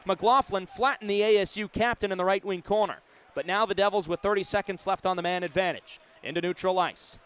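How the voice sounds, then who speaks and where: A man's voice: high voice, steady tone, brisk, fairly bright tone, clear, resonant tone. A man in his twenties doing live radio play-by-play of a hockey game in clipped, vivid running narration. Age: 20s. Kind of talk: live radio sports commentary.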